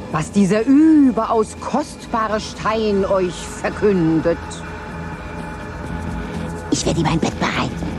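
A wild, cackling witch. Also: full character voice. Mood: gloating. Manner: muttering, swooping wildly in pitch, cackling. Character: eccentric scheming female witch brewing potions. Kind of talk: radio play acting.

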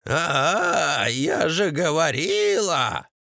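A man voicing a vicious, triumphant old mother-in-law who scolds and cackles in drawn-out rustic speech. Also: on the high side, sing-song, vocal fry, sharp tone, no room sound, studio quality, voice pushed up, exaggerated voice. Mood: gloating.

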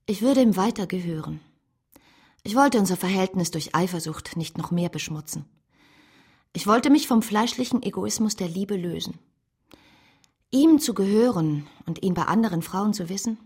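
An actress playing an earnest, lovestruck woman who narrates her own life in a measured, literary radio drama style. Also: mid-range voice, darkish tone, breathy edge.

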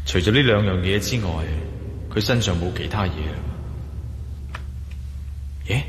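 A man's voice: fairly low pitch, a little hiss or hum. A man with a Hong Kong Cantonese accent playing a resolute, grimly confident adventurer hero in a radio drama. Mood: astonished. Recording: echoey hall reverb, music behind.

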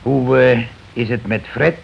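An actor playing a bossy, calculating working-class husband in a Dutch radio play, blunt and argumentative in colloquial speech. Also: male voice, mid-range voice, unhurried, creaky. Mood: scheming. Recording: dull, noisy old radio recording.

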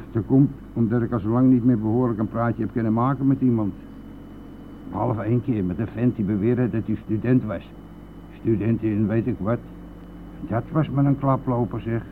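A man's voice: mid-range voice, natural voice. An actor playing a chatty, coarse, self-pitying, hard-drinking bus driver who needles his passenger in a colloquial radio-drama style. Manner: garrulous, jovial, needling, colloquial. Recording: tinny, band-limited, noisy old radio-play recording.

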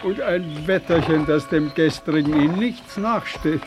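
An actor playing a jovial, generous aristocratic host with hearty, old-fashioned formal phrasing in a German radio drama. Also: male voice, on the high side, measured pace, over loud music, lightly acted.

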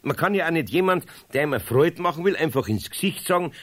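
An older man with a Bavarian accent playing a grumbling but soft-hearted master carpenter in a 1970s radio play, sighing and flustered. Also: on the high side, lively intonation, fast talker. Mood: lecturing. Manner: grumbles, sighs "oh mei", flustered and polite with a neighbour.

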